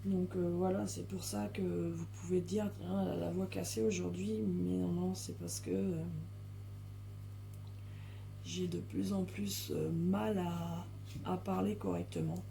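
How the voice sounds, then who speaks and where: Hoarse French woman chatting, gravelly voice. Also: fairly low pitch, fairly even intonation, measured pace, mellow, a little hiss or hum. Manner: rambling with many hesitations and restarts. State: hoarse, strained voice. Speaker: coloring channel host. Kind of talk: casual chat to viewers while coloring.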